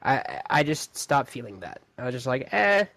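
A man's voice: medium pitch, normal speed, creaky, no echo. Easygoing young man, hesitant talk. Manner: hesitant, restarts, relaxed. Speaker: podcast co-host. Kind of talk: casual chat.

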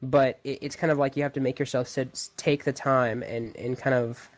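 A man's voice: mid-range voice, little pitch movement, moderate pace. Easygoing young man chatting. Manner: easygoing, loosely strung sentences. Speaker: podcast co-host. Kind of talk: casual chat.

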